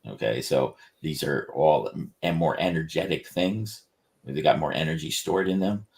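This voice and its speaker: A man explaining his inventions on a casual livestream, rambling and halting with many restarts. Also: rumbling low, darkish tone. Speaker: inventor presenting research.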